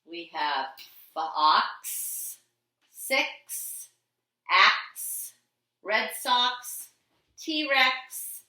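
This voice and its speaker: Slow, bright-voiced woman teaching young kids. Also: mid-range voice, very animated, distant mic. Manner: patient, sing-song instructing with simple repeated phrases and rhetorical questions. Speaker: primary school teacher. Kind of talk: handwriting lesson for young children. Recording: echoey room, voice away from the mic.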